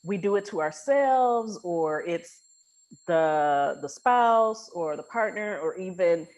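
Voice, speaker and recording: Lower-pitched woman hosting a casual podcast. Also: lively intonation, takes it slowly, a little mellow, poor audio. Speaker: podcast host. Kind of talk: casual interview conversation.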